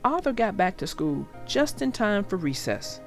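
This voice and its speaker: A woman's voice: medium pitch, big pitch swings, normal speed, faint music behind. A woman reading aloud for children as a storyteller, chatting directly to her young listeners in a conversational way.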